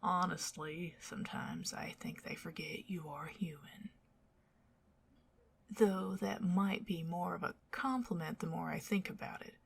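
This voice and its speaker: A woman playing a bewitching older vampire lady in a whispered ASMR roleplay, doting and fussy, with arch, theatrical, flowery phrasing and a breathy, husky voice. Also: on the low side, unhurried, slight background noise.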